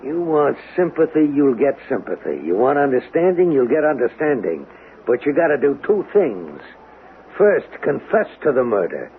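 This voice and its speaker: An actor playing a hard, skeptical interrogator in a radio drama, speaking in an insistent, sardonic, clipped way. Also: male voice, medium pitch, very animated, moderate pace, creaky. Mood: accusing, insistent. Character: hard, skeptical interrogator pressing a murder suspect. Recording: noisy, narrow-band vintage radio recording.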